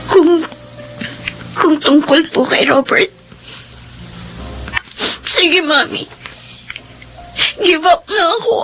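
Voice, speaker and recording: An actress playing an emotional, remorseful, lovesick daughter in a Filipino radio drama, with a tearful, melodramatic delivery. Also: female voice, very high voice, extremely slow, pauses often, fairly bright tone, exaggerated voice, rough recording. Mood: sobbing apology. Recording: music bed under the voice.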